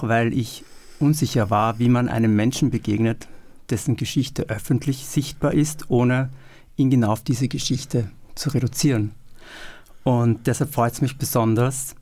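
A man at mid pitch hosts a radio show from the studio. He reads his prepared moderation calmly and in measured phrases, with a few fillers when speaking freely. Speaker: radio host.

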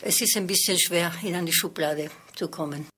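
Husky-voiced older woman, French native speaker. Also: fairly low pitch, moderate pace, pushed and bright. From born in Paris, raised in Madrid. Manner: reflective, with hesitations and small grammar slips. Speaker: singer and voice coach. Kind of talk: archival radio interview.

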